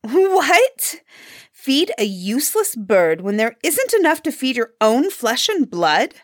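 A woman's voice: high voice, big pitch swings, takes it slowly, no echo, studio quality, voice pushed up, heavily acted. A woman voicing a petulant, self-pitying peasant wife who scolds and complains in a storybook. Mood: angry, petulant.

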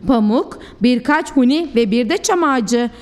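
High-pitched woman reading a children's story. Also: expressive, normal speed. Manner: theatrical, clearly addressed to young children. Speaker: preschool teacher, storyteller. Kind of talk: expressive children's storybook narration.

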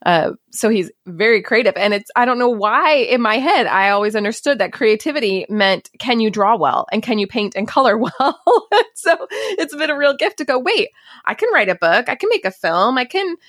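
A woman's voice: on the high side, lively intonation, moderate pace, a bit bright, no room sound. Woman podcast host, candid and chatty, close dry sound. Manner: open, self-reflective, laughs easily. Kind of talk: casual conversation.

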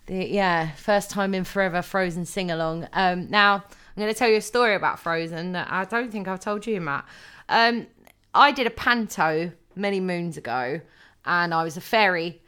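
A woman's voice: on the low side, normal speed, a bit bright, smooth. A young woman co-hosting a podcast, chatting casually with many ums and frequent laughs. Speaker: podcast co-host. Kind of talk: casual chat.

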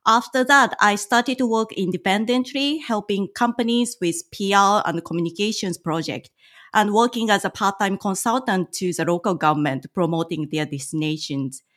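A woman from Japan whose first language is Japanese, answering interview questions as a tour guide in careful, measured speech with hesitant pauses. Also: medium pitch, fairly bright tone. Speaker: guest, licensed tour guide.

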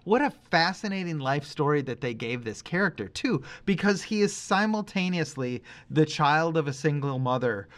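A man's voice: a little high, animated, moderate pace, few pauses, a bit bright. A man co-hosting a podcast, casually discussing film in an effusive, emphatic way, often repeating phrases for weight. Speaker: podcast co-host.

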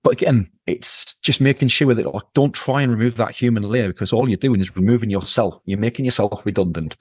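A man with a fairly low voice talks as a podcast guest, leaning hard on repeated words to drive his points home. The sound is close and dry, with no room echo. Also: a little fast, a little mellow, slightly rough. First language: English. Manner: emphatic, repeats words for stress. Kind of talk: conversational interview.

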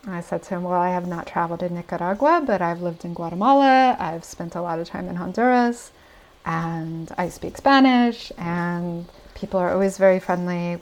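An American woman speaks as a podcast guest, earnest and reflective. Her voice sits low with a gravelly edge. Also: poor audio. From United States. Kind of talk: casual interview answers.

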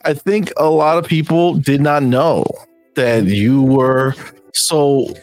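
A man hosting a podcast, asking slow, halting questions and reacting with short remarks. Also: mid-range voice, darkish tone, soft background music. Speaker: podcast host. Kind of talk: casual interview chat.

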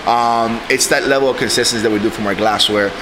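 A man's voice: mid-range voice, fairly even intonation, quick pace, background noise. A man from Cuba speaking casually as a podcast guest, emphatic and often repeating his words for stress. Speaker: guest, bar general manager.

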